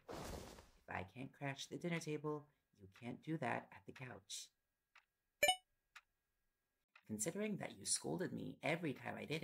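Man performing a young boyfriend, audio roleplay, heavy vocal fry, medium pitch, affectionate, teasing, coaxing, intimate. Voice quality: gravelly, creaky. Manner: playful coaxing, chatty. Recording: loud background noise with clicks. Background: ticking and clicking sounds.

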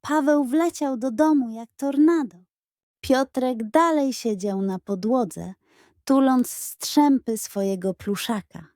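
A woman reading a scripted bedtime story for children in an expressive, careful storytelling manner. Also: high-pitched, takes it slowly, no room sound, clean studio sound. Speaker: audiobook narrator.

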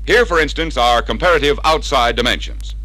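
A man's voice: mid-range voice, fairly quick, pushed and bright, soft background music, low-quality recording. An American man narrating a scripted 1960s sales training film in a punchy, persuasive salesman's manner, on a vintage record soundtrack. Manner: punchy, persuasive salesman delivery with rhetorical questions.

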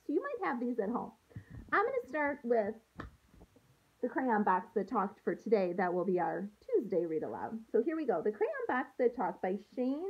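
A woman in her thirties, a teacher reading aloud to young children with an expressive, sing-song delivery, recorded on a handheld-distance device at home. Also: a little high, slightly rough, highs cut off. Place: small room at home, indoors. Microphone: phone or laptop at arm's length.

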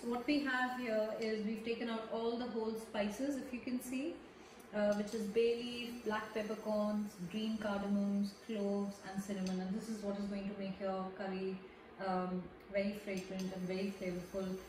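A woman's voice: medium pitch, little pitch movement, moderate pace, distant mic. A woman in her thirties, a chef, patiently talking someone through a cooking demonstration step by step in a large home kitchen. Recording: echoey kitchen with background noise.

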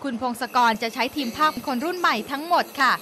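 A woman's voice: sits high, quick pace, a crowd in the background. A woman narrating a television news feature from a script in a polite, polished presenter manner.

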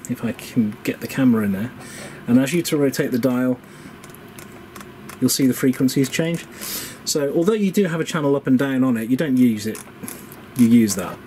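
A middle-aged man from the by his talks in a casual, enthusiastic show-and-tell style. His voice sits at an average male pitch. The recording carries noticeable background noise, as if made on a camera in a workshop. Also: darkish tone. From England. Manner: enthusiastic, chatty. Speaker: vlog host showing vintage radio gear. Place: indoor workshop shed. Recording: clearly noisy room background.

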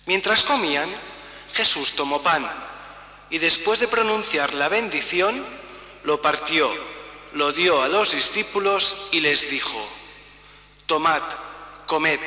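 Slow, bright-voiced priest in a reverberant church. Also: male voice, a little high. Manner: measured, solemn, pausing between phrases while signing. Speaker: priest reading the Passion. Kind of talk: slow liturgical Gospel reading. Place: church sanctuary, large indoor space. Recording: roomy church reverb, mic at a distance.

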